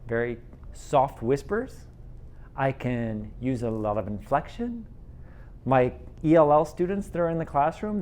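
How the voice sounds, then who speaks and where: A man giving a conversational training presentation, explaining and demonstrating classroom audio gear while wearing a pendant microphone in a classroom. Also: on the high side. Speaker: instructional technology trainer. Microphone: teardrop pendant microphone.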